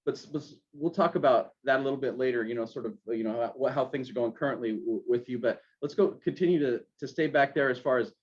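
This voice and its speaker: A man hosting an interview, asking questions in a halting way with many restarts. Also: medium pitch, slightly rough. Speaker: podcast host. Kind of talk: casual interview. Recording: dull lo-fi sound.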